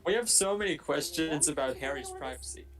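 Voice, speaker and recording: A man's voice: a little high, lively intonation, fairly quick, music in the background. A man chatting casually and jokingly as he co-hosts a film commentary.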